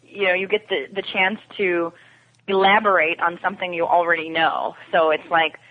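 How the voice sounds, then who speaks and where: A woman's voice: lowish voice, level tone, normal speed. A woman as a podcast guest, chatting casually about television over a phone connection. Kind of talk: casual chat about TV science fiction. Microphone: phone or call connection. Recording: tinny phone line with clear noise.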